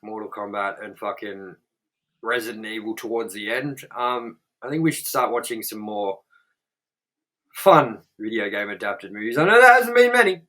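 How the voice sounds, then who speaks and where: A man's voice: lowish voice, expressive, moderate pace, pushed and bright, low-quality recording. A man co-hosting a casual podcast chat. He rambles and circles back on himself, piling on fillers and curse words as he goes. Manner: rambling, filler-heavy, frequent "like" and "you know what I mean". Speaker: podcast co-host. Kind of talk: casual chat, swearing freely.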